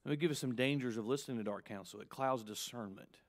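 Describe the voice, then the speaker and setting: A man in his fifties, a pastor preaching a sermon with emphatic, punchy delivery and quick asides. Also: medium pitch. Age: 50s.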